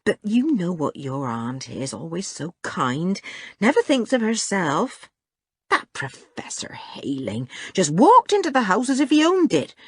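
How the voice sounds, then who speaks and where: Woman voicing a homely, husky household cook. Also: on the low side, no echo, studio quality, heavily acted. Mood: chatty. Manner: homely, chatty, gently scolding. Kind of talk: children's audiobook character voice.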